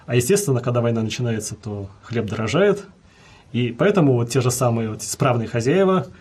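A man's voice: medium pitch, lively intonation, a little fast, a little mellow, slightly rough. A man answering as a history guest, explaining at length in a lecturing way with many fillers.

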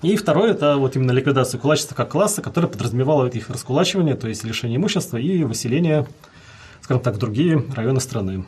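A man's voice: mid-range voice, expressive, racing, a little mellow, breathy edge. A man answering as a history guest, explaining at length in a lecturing way with many fillers.

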